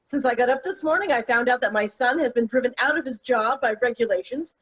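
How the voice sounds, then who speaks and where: A woman's voice: fairly high pitch, fairly quick, breathy edge, rough recording. A woman playing an exasperated, indignant older wife and mother with dry formality in a table read. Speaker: actor in a virtual table read. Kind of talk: stage comedy-drama table read.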